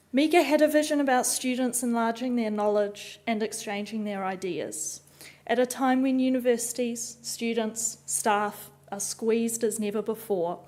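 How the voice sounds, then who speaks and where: A woman's voice: a little high, a little mellow. A young woman with a New Zealand accent gives an earnest, composed prepared speech as a doctoral student.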